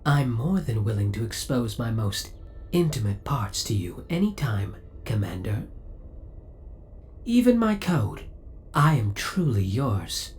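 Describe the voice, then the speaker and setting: A man's voice: mid-range voice, big pitch swings, a little slow, darkish tone, slight background noise. A man playing a devoted, possessive spaceship AI in an ASMR audio roleplay, speaking in a formal, courteous and precise way. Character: devoted, possessive male spaceship AI, politely obsessive.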